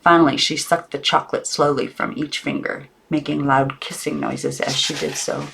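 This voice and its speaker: A woman's voice: deep voice, fairly even intonation, normal speed, gravelly, a bit bright, a little hiss or hum. Woman reading a storybook expressively. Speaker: audiobook reader. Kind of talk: storybook narration, read off the cuff.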